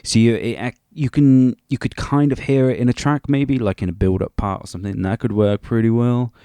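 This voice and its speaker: A man's voice: mid-range voice, steady tone, a little fast, dark tone, smooth, no echo. A man presenting a casual, unscripted music production tutorial, rambling hesitantly and a little self-deprecating.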